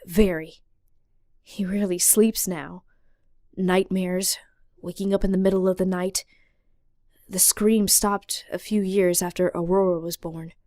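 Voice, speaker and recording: A woman's voice: on the low side, fairly even intonation, unhurried, pauses often, warm tone, no room sound, natural voice. Woman acting as caring healer, firm, dry close recording, audio drama. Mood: subdued.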